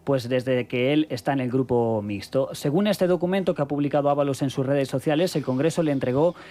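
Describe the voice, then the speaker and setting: A man's voice: mid-range voice, a little fast, dark tone. A man from Spain reading news items and introducing clips on a radio news show.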